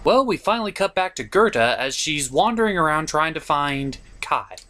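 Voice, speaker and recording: A man's voice: a little high, lively intonation, normal speed, bright, forceful tone. A man narrating a comedic film review in a sarcastic, exasperated manner.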